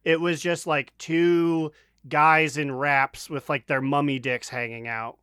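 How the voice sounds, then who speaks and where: A man's voice: on the high side, little pitch movement, slow pace, fairly bright tone, smooth, no echo, clean studio sound. A man co-hosting a podcast, chatting casually in a joking, crude, loose way. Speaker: podcast co-host.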